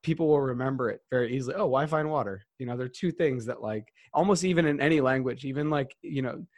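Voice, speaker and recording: A man's voice: mid-range voice, fast talker, darkish tone, dry recording, clean studio sound. A man hosting a podcast in a casual conversation, talking in loose, rambling sentences full of restarts and fillers. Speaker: podcast host.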